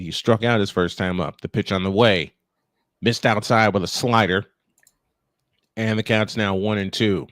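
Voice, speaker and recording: A man with a low voice hosts a live baseball call in a dry, close sound. He slips from play-by-play into folksy, joking chat. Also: fairly bright tone, no echo. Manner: folksy, joking asides between calls. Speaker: play-by-play baseball announcer and stream host. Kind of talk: live sports commentary mixed with casual chat.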